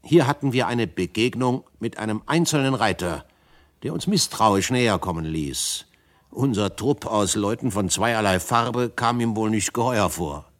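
An actor playing a reflective frontier adventurer who narrates a western radio drama in a measured, pensive manner. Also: male voice, on the low side, animated. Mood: narrating. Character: reflective male frontier adventurer telling his story. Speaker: first-person narrator.

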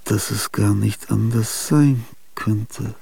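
Man, very gravelly voice, slow deliberate delivery, weary whispers, faint hiss. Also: fairly low pitch, dark tone. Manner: weary, whispering inner monologue. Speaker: narrator and protagonist. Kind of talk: German radio drama.

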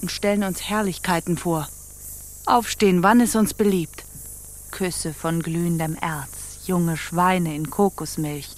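An actress playing an imperious, mocking pirate captain in a theatrical radio play, brooding and scornful. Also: female voice, fairly low pitch, fairly even intonation, measured pace, lightly acted. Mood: brooding, dreamy. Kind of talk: stage-like radio play acting.

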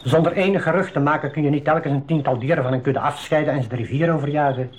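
A man acting a knowledgeable, calm reserve guide in a 1980s school radio drama, explaining things in a measured, articulate way. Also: fairly high pitch, lightly acted. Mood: earnest, informative.

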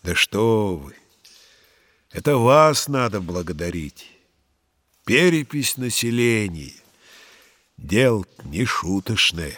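An actor playing a brisk, deferential messenger of a governor in an audio drama, respectful and urging. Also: male voice, lowish voice, big pitch swings, a bit bright, no room sound, exaggerated voice.

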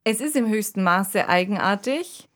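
A woman's voice: medium pitch, animated, moderate pace, fairly bright tone. A woman co-hosting an analytical conversation, explaining thoughtfully and checking in with short tag questions. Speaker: podcast co-host.

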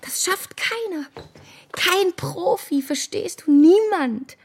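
An anxious, reasoning woman in a radio drama. Her voice sits high, and she speaks haltingly and insistently, trying to talk people round. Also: animated, a little hiss or hum, heavily acted. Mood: placating, halting. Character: anxious, reasoning woman pleading to calm an attacker.

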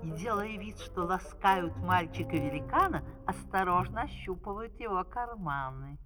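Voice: medium pitch, expressive, normal speed. Reverberant storytelling narrator over music. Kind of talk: scripted narration of fairy-tale audio opera. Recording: reverberant vinyl record with music behind.

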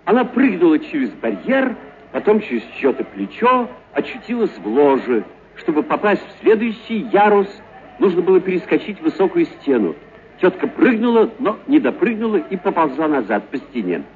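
A man's voice: sits high, sing-song, normal speed. A man narrating a literary story for radio, dramatically and expressively, drawing out certain words. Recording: thin, narrow-band radio sound.

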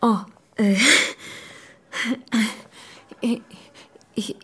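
An actress playing a shy but determined young daughter in a radio drama, breathy, hesitant and tense. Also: female voice, very slow, darkish tone. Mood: embarrassed, strained.

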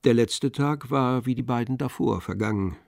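Male narrator, medium pitch, measured scripted storytelling, composed, close dry studio sound, radio drama. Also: gravelly, a little mellow, no echo. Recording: dry studio with faint music.

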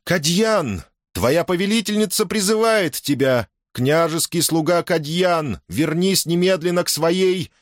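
A man's voice: on the high side, unhurried, few pauses, no room sound, studio quality, pitched above the performer's own voice, natural voice. Man voicing an imperious, icy woman ruler. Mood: furious, commanding. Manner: imperious, peremptory. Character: commanding, furious, icy supernatural female ruler's voice.

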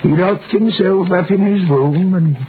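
A man's voice: high-pitched, unhurried. An actor playing a gossipy, hard-drinking witness, telling his tale with knowing, mournful emphasis in 1930s radio style. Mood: mournful, gossiping. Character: gossipy, hard-drinking male witness recounting a sad scandal. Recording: tinny, noisy vintage radio recording.

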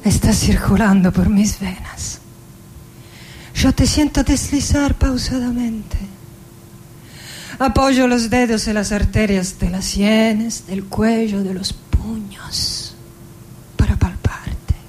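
An actress playing an anguished, lovesick poet in her forties in a theatrical radio monologue, intimate and dramatic, with long pauses. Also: mid-range voice, darkish tone, lightly acted. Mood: intimate, sorrowful longing. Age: 40s. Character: anguished, lovesick 46-year-old Argentine woman poet facing death. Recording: music behind the voice. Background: acoustic guitar music.

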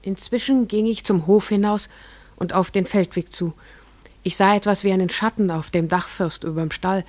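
An actress playing a farmer's wife and mother who tells her story in scripted first-person narration for a radio play. She speaks in a dreamy, measured way, hushed in places and rising to rapt wonder. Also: on the low side, breathy edge.

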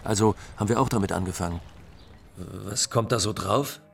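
Blunt wry guy telling his side, lowish voice, dry, interview style radio play. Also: normal speed, gravelly, soft background music. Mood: dry, exasperated. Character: blunt, wry male friend recounting funeral arrangements. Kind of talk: interview-like radio drama narration.

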